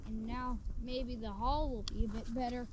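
A woman's voice: on the high side, fairly even intonation, normal speed. A young woman chatting with her family while ice fishing, persistently suggesting new ideas. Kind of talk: casual family chat outdoors. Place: outdoors on a frozen lake. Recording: loud noise in outdoor camera audio.

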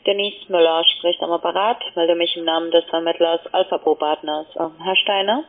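Woman, low-ish pitch, scripted call centre pitch, thin telephone audio. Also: fairly even intonation, fairly quick. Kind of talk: scripted sales phone call. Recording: tinny radio-like phone line.

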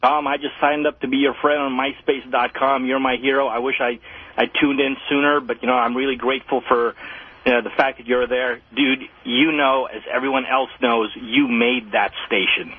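A man's voice: medium pitch, steady tone, moderate pace, breathy edge. A man calling in to a radio show, gushing earnestly and stumbling over his words. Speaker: caller. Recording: tinny phone line on radio.

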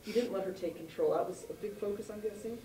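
A woman's voice: mid-range voice, fast talker, mellow. A woman reporter asking players chatty, rambling questions at a press conference. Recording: roomy, distant off-camera mic.

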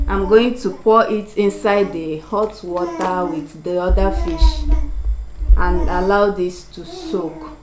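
A woman's voice: mid-range voice, darkish tone. A young Nigerian woman with a Nigerian accent, chatting to viewers while she cooks in her home kitchen. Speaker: cooking vlogger. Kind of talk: casual cooking demonstration. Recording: echoey kitchen with clear background noise.

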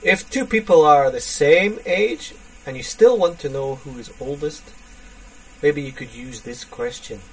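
Man teaching English, slow and lively. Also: a little high, creaky. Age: 30s. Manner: slow, repeats phrases for learners. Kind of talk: online English lesson for students. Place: indoors, small room. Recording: distant webcam mic with faint hiss.

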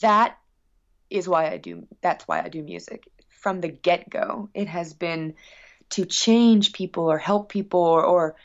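A woman's voice: on the low side, big pitch swings, unhurried. A woman speaking earnestly and reflectively as a musician being interviewed, in casual conversation. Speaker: guest musician. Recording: dull, lo-fi sound.

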